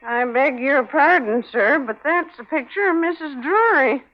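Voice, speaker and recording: An actress playing a guarded, indignant middle-aged housekeeper, curt and standoffish, in 1940s radio drama style. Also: female voice, high-pitched, animated, normal speed, soft background music. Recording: muffled old radio broadcast.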